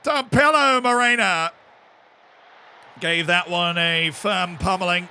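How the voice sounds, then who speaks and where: American man, game streamer, forceful bright tone, jokey, loud outbursts. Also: high voice, slow pace, a little hiss or hum. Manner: excitable, shouts at goals, jokey asides. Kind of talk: live game commentary.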